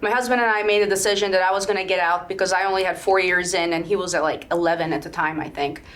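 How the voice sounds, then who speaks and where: Guest: woman, 30s, Swedish native speaker, frank, matter-of-fact, quick to laugh. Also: fairly low pitch, steady tone, fairly bright tone. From Sweden. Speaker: guest, real estate broker. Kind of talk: casual interview chat.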